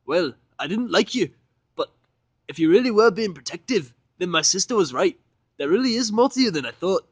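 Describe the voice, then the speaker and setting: A man's voice: high-pitched, lively intonation, moderate pace, breathy edge, no room sound, pitched above the performer's own voice, exaggerated voice. A man voicing a sweet, earnest young female pony who talks hesitantly and picks words with care. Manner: tentative, choosing words carefully.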